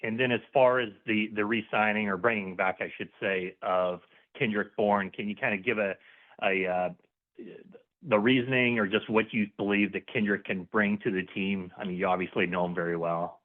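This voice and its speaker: A man working as a reporter, asking questions on a conference call in a halting, self-correcting way. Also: lowish voice, steady tone. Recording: narrow, phone-like conference line.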